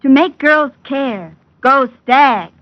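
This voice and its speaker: An actress playing a haughty young beauty who brushes off suitors in an old-time radio commercial skit. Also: female voice, high-pitched, expressive, slow, no echo, highs cut off. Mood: haughty, coy. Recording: band-limited old radio recording.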